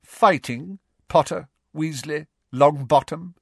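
A man's voice: on the high side, takes it slowly, creaky, no echo, studio quality, pitched above the performer's own voice, full character voice. Man voicing a cold, sneering schoolmaster. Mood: cold contempt. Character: cold sneering male schoolteacher, strict and menacing.

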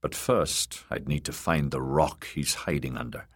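A man voicing a weary, bitter one-handed knight who speaks low, grim and clipped. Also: measured pace. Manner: low, grim and clipped, menacing when threatening.

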